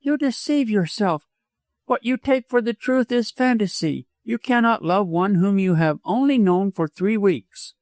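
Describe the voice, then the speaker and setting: A man voicing a proud, agitated, quick-tempered young widow in an audiobook, speaking emphatically and imperiously. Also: sits high, lively intonation, darkish tone, no room sound, studio quality, pitched above the performer's own voice. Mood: indignant. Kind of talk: dramatized audiobook reading.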